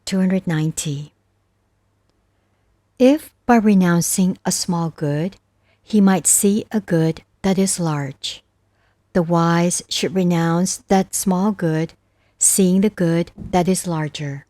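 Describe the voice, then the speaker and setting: A woman reading scripture as scripted narration, reciting verses with measured, deliberate phrasing. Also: fairly low pitch, warm tone, dry recording. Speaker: narrator of a Buddhist scripture audiobook.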